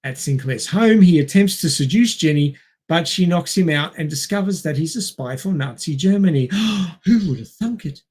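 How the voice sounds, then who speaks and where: Casting: male film podcast co-host, voice a little high, recapping and chatting with a wry, dry wit. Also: animated, moderate pace, darkish tone, slightly rough. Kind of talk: reading a film plot summary with wry asides and casual chat.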